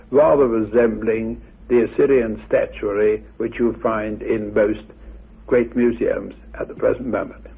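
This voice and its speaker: A man giving an expert explanation in a measured, formal and precise way. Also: mid-range voice, gravelly, husky, narrow, tinny audio. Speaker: expert commentator. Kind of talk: explanatory talk.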